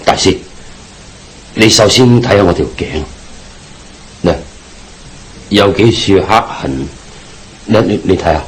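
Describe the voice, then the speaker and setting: A man's voice: deep voice, takes it slowly, pauses often, a little hiss or hum, low-quality recording. A man with a Hong Kong Cantonese accent playing an anxious, deferential businessman haunted by a ghost in a radio drama, hesitant and pleading in delivery.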